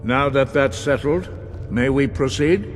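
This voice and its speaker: An actor playing a weary, disapproving elderly monk elder, solemn and measured, in a fantasy game. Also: male voice, mid-range voice, lightly acted. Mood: patient, urging. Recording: prominent game music behind.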